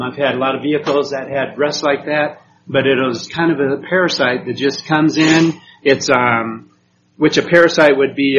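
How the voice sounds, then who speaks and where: A man from Puerto Rico lecturing a class in a relaxed, halting way, stopping often with "um" and checking in with "okay?". His voice sits at a middle pitch. The recording is dull and lo-fi, with a faint room hum behind him. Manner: hesitant, many ums, checks with "okay?". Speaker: teacher.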